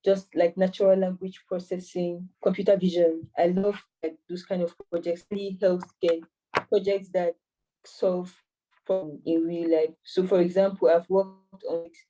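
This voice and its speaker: A woman's voice: fairly low pitch, flat delivery, moderate pace, mellow, distant mic, highs cut off. A woman in her twenties from Cameroon, an AI mentor answering interview questions hesitantly with many fillers and restarts. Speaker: AI mentor and master's student.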